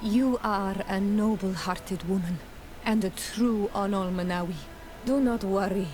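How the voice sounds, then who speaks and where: A woman's voice: medium pitch, a little slow, darkish tone, close to an everyday voice. An actress playing an earnest, devoted young native clanswoman in a video game. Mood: warm, sincere.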